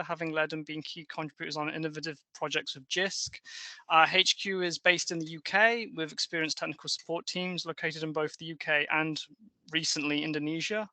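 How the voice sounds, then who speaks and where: A man's voice: a little high, sharp tone, no echo. A man, an operations manager, giving a formal prepared introduction in a webinar. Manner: formal, reads prepared points. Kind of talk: scripted business presentation.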